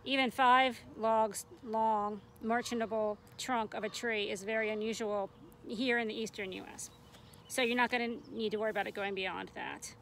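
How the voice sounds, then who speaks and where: A woman's voice: on the high side, a bit bright. A middle-aged American woman giving an informal, plain-spoken instructional demonstration outdoors in a park. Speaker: extension forester, instructor. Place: outdoors in a grassy, tree-lined park. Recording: outdoor ambient noise.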